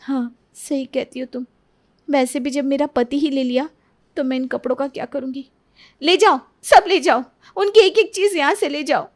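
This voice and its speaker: A woman's voice: high-pitched, level tone, moderate pace, dark tone, natural voice. A woman voicing a heartbroken young wife, crying and pleading, with bitter outbursts.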